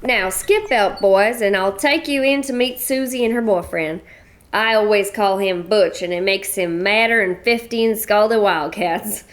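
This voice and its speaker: A woman's voice: mid-range voice, a little slow, lightly acted. A woman voicing a bossy, blunt, middle-aged widow from a cattle ranch, speaking. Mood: bossy, folksy. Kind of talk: radio play acting.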